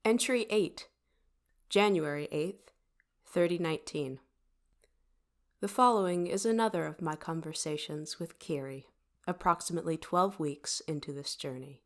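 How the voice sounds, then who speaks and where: A woman voicing a precise, literal-minded starship in an audio drama, with formal, measured log entries and dialogue. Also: low-pitched.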